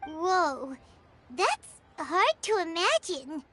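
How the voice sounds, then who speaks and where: A woman voicing a small, childlike fairy companion in a video game, cheerful and naive, with a squeaky voice and wide-eyed manner. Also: sing-song, drawn-out words, noisy background, full character voice. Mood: curious, surprised. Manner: speaks of self in third person, wide-eyed. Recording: music behind the voice.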